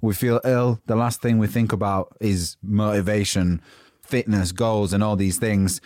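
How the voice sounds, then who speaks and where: A man hosting a motivational podcast, a bit croaky from a cold, talking in an informal, chatty, unscripted way. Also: on the low side, steady tone, measured pace, darkish tone, no room sound, studio quality. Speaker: podcast host. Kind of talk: motivational talk.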